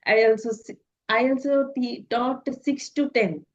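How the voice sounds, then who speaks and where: A woman asks questions in a casual podcast chat, her voice a little high. She speaks in halting, hesitant full of fillers, and the audio sounds dull and lo-fi. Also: steady tone. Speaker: former teacher, podcast interviewer.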